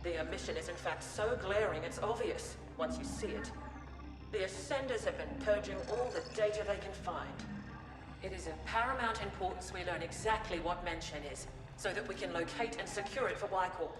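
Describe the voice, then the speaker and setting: A woman's voice: mid-range voice, normal speed, lightly acted. Woman playing a cold, echoey corporate operative. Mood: formal, businesslike. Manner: formal, precise, condescending. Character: cold, menacing, formal female corporate operative. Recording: echoey hall with music behind.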